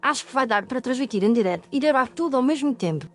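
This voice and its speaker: A woman's voice: high voice, animated, fast. A woman playing a determined, curious young ghost-story podcaster, earnest and articulate, with a European Portuguese accent. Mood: intrigued. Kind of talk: audio ghost story acting.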